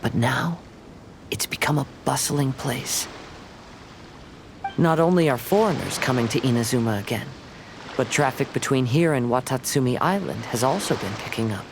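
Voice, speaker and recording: A man playing a gentle, reflective young wandering samurai in a video game, speaking in a measured way. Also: mid-range voice, vocal fry, noisy background. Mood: calm, reassuring. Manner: calm, measured, contemplative. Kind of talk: scripted video game dialogue. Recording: loud music under the voice.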